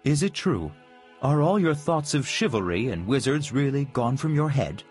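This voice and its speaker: An inquisitive village priest with a lively, rising voice, probing and hopeful, played by a male storyteller, with gentle music in the background. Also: mid-range voice, normal speed, pitched above the performer's own voice, natural voice. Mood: hopeful, questioning. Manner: questioning, searching tone.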